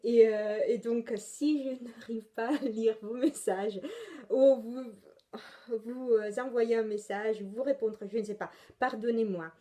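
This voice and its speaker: Slow chatty Italian woman, mellow voice. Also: on the high side, steady tone. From Italy. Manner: rambling, chatty, many hesitations and self-corrections. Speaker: knitting podcast host. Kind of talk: casual chat.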